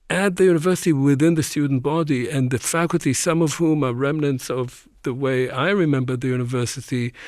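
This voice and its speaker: A man's voice: fairly high pitch, a little mellow, dry recording, studio quality. An older man, a professor speaking on a debate panel, reflective and hesitant with many fillers and restarts. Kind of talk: panel discussion.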